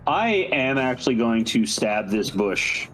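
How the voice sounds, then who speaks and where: A man's voice: mid-range voice, unhurried, fairly bright tone, poor audio. A man chatting casually as a player in a tabletop game, calling out his moves. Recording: game music playing behind.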